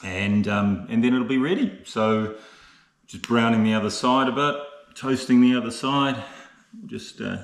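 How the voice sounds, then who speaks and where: A man's voice: mid-range voice, unhurried. A man narrating a casual camping and hiking vlog to camera in a chatty, understated way, often adding little tag questions. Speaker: solo hiking vlogger.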